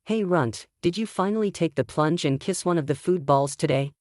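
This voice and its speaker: A man's voice: fairly high pitch, moderate pace, a little mellow, dry recording, clean studio sound, close to an everyday voice. A man voicing a cheeky young male werewolf pack member with a casual, needling manner in a fantasy audiobook. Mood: teasing.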